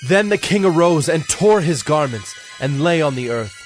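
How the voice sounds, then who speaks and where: Measured male scripture narrator over soft music. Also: fairly high pitch, lively intonation.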